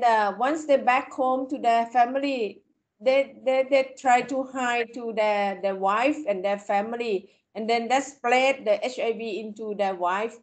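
A middle-aged woman from Thailand with a Thai accent, a university lecturer giving an online panel talk in halting English full of fillers, speaking over a video call from a tablet at home. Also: fairly high pitch. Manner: halting, many "kind of" fillers and restarts.

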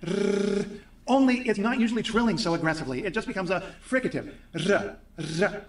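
A man presenting a video that explains pronunciation, playful and demonstrating sounds slowly. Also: sits high, animated, reverberant, recorded from a distance. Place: room with bookshelves.

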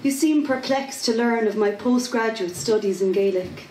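A woman's voice: fairly high pitch, moderate pace, a little mellow, far from the mic, a little hiss or hum. Cork Irish woman, echoey pub stage. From Ireland. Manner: chatty, self-deprecating, rhythmic reciting. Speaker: spoken word poet. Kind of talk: casual interview and poetry performance. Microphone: handheld mic, far camera.

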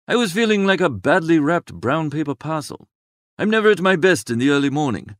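Idle, flippant young gentleman male reader for comic storybook: droll, wordy, higher-pitched, very animated. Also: a little fast, no room sound, clean studio sound. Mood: breezy, droll. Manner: wordy, breezy, drolly exaggerating. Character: Bertie Wooster, young idle upper-class gentleman, flippant and languid. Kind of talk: comic first-person audiobook narration.

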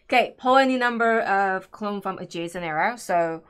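A middle-aged woman plays and co-hosts a board game session indoors. She talks casually and works through her moves out loud, in a medium-pitched voice. The delivery is conversational and unscripted. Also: moderate pace, clear, resonant tone. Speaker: board game show co-host and player. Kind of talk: casual live board game playthrough chat. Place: indoor room at a game table.

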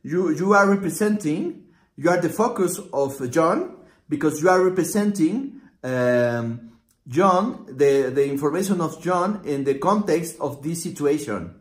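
A man in his forties, a native Spanish speaker, explaining a consulting method at a whiteboard with many pauses and restarts. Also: on the high side, animated. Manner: halting, many fillers and restarts, acts out client dialogue. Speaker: systemic consultant teaching a method. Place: small room with whiteboard, indoors. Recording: echoey room.

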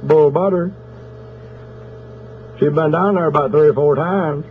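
A man's voice: on the high side, a little slow, natural voice. A man playing an aggrieved, belligerent country husband on a prank phone call, with a Southern accent from Oklahoma and a folksy, rambling way of talking. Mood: threatening. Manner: folksy, rambling, deadpan menacing. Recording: noisy, tinny telephone line.

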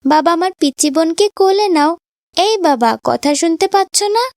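A woman plays a sweet, devout little girl in a cartoon. Her high voice is eager and affectionate, an adoring big sister. The sound is close and dry. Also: animated, fairly quick, darkish tone, dry recording. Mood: delighted. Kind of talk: cartoon voice acting in moral story.

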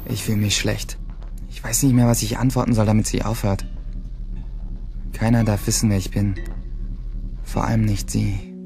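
An actor playing a secretive, restless teenage schoolboy, narrating in clipped sentences in a radio play. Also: male voice, fairly low pitch, little pitch movement, normal speed, gravelly, pauses often, dark tone, faint music behind. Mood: guarded, troubled. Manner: terse, clipped. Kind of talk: first-person radio drama narration.

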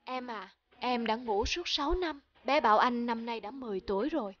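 A high voice for a middle-aged cartoon father , acted by a woman. He is earnest, emotional and devoted to his family. Faint music plays behind him. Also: brisk, a bit bright, lo-fi sound, natural voice. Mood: sorrowful, hushed. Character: distressed devoted husband and father of middle age. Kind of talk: animated moral-story dialogue.

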